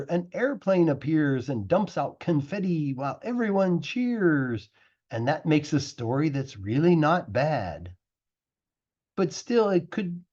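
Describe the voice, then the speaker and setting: Guy reading a rhyming kids book out loud, really animated, soft husky voice, kinda dull lo-fi sound. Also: a little high. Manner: animated, theatrical pacing with drawn-out words. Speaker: English teacher reading a picture book aloud. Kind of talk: expressive rhyming storybook narration.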